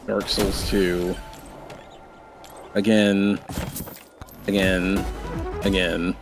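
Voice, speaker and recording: A man's voice: deep voice, vocal fry. A man in his twenties from Maryland who hosts a livestream, rambling and thinking aloud in a loose, casual way. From Maryland, USA. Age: 20s. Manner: rambling, thinks aloud, repeats himself while doing setup. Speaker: streamer host.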